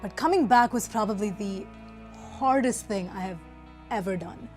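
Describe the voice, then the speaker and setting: A young woman from Singapore, mid-pitched, talks candidly and reflectively in an interview about her student years. Her tone is conversational and unscripted. Faint music plays under her voice. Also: normal speed. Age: young adult. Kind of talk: personal interview recollection.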